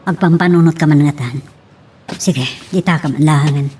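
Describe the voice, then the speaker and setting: Woman acting a mellow-voiced, troubled Filipino wife. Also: low voice, moderate pace, slight background noise, natural voice. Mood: concerned. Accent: Ilocano Filipino. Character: troubled wife and mother, conflicted and pleading. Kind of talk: Ilocano radio-style drama acting.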